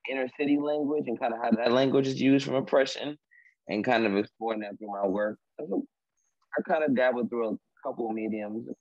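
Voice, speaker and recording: A young man, a native Haitian Creole speaker, talks haltingly about his art on a video call, with many fillers. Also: mid-range voice, a little mellow, dry recording. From Washington, D.C. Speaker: artist. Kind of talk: video call artist talk. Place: room at home. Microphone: laptop video call. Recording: dull video call audio.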